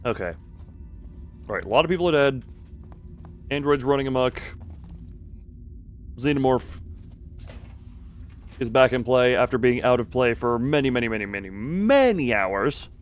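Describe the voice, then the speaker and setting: A man's voice: medium pitch, lively intonation, normal speed, pauses often, soft background music. A man streaming a video game with casual, wry commentary, often muttering to himself as he plays.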